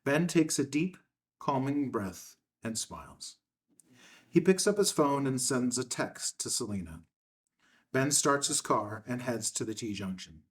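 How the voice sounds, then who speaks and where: A man with a mid-pitched voice reads screenplay directions aloud as narrator. His delivery is measured and matter-of-fact, shaped as scripted narration. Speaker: table read narrator.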